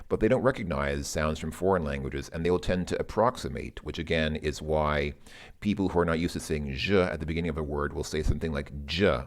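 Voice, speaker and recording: A man who speaks English natively, talking through his thoughts in a loose, musing monologue and often repeating words while he thinks. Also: sits low. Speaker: language learner vlogger. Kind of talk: casual spoken monologue.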